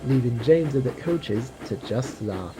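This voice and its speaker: Woman narrating a storybook, animated pitch. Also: a little slow, rough recording. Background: music.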